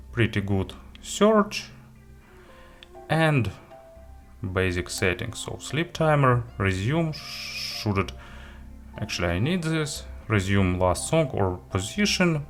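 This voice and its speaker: Low voice guy, non-native english, doing a casual tech review, kinda halting, corrects himself, bit of music in the back. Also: fairly bright tone. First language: not English. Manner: halting, hesitant delivery with self-corrections and listed items. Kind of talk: casual product walkthrough, unscripted.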